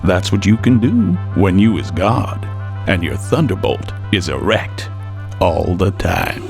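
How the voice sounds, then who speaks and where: An actor playing a hip, playful narrator of Greek myths in a radio drama, with theatrical rhyming delivery. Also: male voice, sits low, animated, a little slow, creaky, a little mellow, full character voice. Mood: sly, playful. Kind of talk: rhythmic rhyming radio storytelling over music. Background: music.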